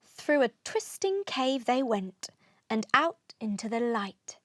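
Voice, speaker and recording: A woman narrating a children's storybook aloud, expressively, with deliberate rhythmic delivery of rhyming verse. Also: on the high side, very animated, dry recording, studio quality.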